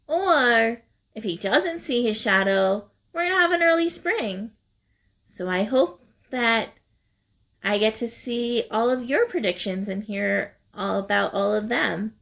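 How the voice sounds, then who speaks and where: Female children's storyteller, fairly high voice, very unhurried, expressive and emphatic read-aloud delivery. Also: vocal fry. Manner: deliberate, emphatic, addressing young listeners. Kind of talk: expressive picture-book narration.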